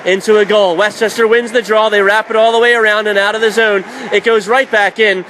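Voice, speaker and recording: A man doing live radio play-by-play of a hockey game in clipped, excitable calls. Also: high-pitched, brisk. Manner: excitable on shots, clipped present-tense calls. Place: indoor ice hockey arena. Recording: loud rink noise behind the voice.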